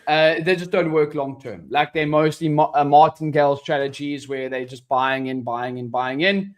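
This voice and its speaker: A relaxed young man in his twenties, co-hosting a live markets stream. He talks loosely and casually, calls his co-host "bro" and often drifts off mid-thought. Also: fairly high pitch, normal speed. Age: 20s. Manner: laid-back, says "bro" and "dude", trails off mid-sentence.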